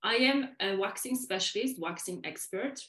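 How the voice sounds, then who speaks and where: A woman from Poland with a Polish accent, speaking English as a second language, who talks deliberately slowly as a podcast guest. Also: mid-range voice, fairly bright tone, echoey room. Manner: deliberately slowed, careful speech. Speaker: guest, waxing specialist. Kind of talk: podcast interview.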